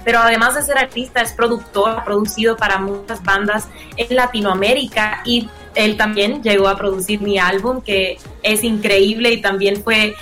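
A woman's voice: medium pitch, fairly even intonation, moderate pace, few pauses, a bit bright, soft background music. A young woman with a Puerto Rican accent and English as her first language, speaking earnestly and expressively as a singer-songwriter guest in a casual radio interview. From Puerto Rico.

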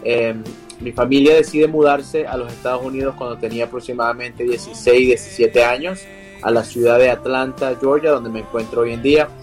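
Young Venezuelan male singer, remote guest, hesitant and filler-heavy, soft music under him. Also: medium pitch, fairly even intonation, brisk. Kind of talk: interview over a video call. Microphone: video call.

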